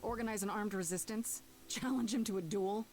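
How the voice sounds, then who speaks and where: An actress playing a determined, blunt young mortal heroine in a video game, earnest and questioning. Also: female voice, mid-range voice, a little fast, natural voice. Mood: wry, challenging. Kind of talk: dramatic video game voice acting. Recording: music under the voice.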